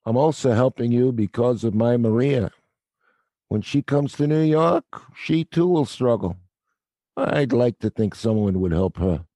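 A man voices a middle-aged night watchman in an audio play, gruff on the surface and fatherly underneath. He talks in a wry, world-weary way, at a medium pitch. The sound is dry and close. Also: expressive, unhurried, dark tone, dry recording. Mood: warm.

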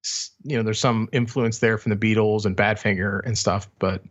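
Guy w a kinda low voice chatting about albums on a podcast, loose and conversational. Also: steady tone, moderate pace, highs cut off. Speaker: music podcast co-host. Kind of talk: casual album review chat.

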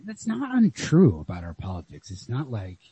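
A man with a notably husky, breathy voice and a soft, mellow tone talks through literature as a podcast co-host. His delivery is wry and analytical, casually peppered with "like." The recording is lo-fi and very dry, with no room sound. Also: mid-range voice, wildly swooping pitch, normal speed, dry recording.

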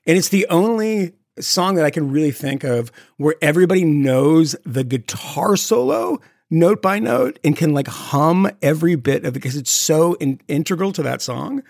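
A man's voice: a little high, expressive, normal speed, creaky, few pauses, darkish tone, no echo, clean studio sound. A man co-hosting a podcast, chatting casually and laughing often. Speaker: podcast co-host. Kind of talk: casual chat.

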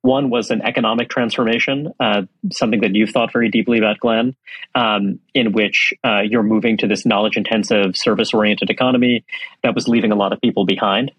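A man's voice: on the low side, steady tone, a little fast, a bit bright, husky, no echo, lo-fi sound. A man from Brooklyn, New York, heading a think tank, talking in an interview with many fillers and restarts. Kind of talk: conversational interview.

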